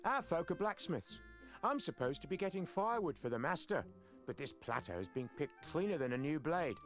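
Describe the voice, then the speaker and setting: A man's voice: on the high side, big pitch swings, brisk, fairly bright tone. An actor playing a friendly, chatty young male blacksmith's apprentice with a folksy, easygoing manner in a fantasy game. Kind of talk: fantasy adventure game voice acting. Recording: game audio with music underneath.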